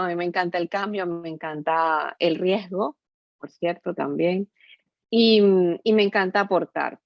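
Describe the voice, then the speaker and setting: Low-pitched Venezuelan woman, dry muffled audio. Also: no room sound. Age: middle-aged. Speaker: podcast guest, entrepreneur and business consultant. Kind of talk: conversational interview answers. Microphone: remote online call.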